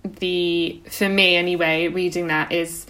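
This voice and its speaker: A woman's voice: on the low side, flat delivery, unhurried, pushed and bright, rough recording. A young woman co-hosting a podcast, discussing books casually and a little tentatively, often saying "like". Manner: informal, tentative, frequent "like". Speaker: podcast co-host.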